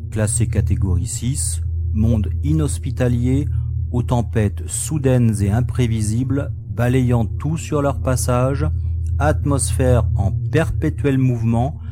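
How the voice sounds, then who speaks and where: A man's voice: medium pitch, close to an everyday voice. A man playing a wry, explanatory spaceship crewman in a science-fiction audio drama. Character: male spaceship crewman, relieved, informative, wry.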